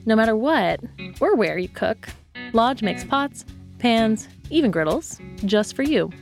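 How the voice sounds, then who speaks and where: A woman's voice: fairly high pitch, very animated, measured pace, faint music behind. A woman announcer delivering a scripted podcast sponsor read.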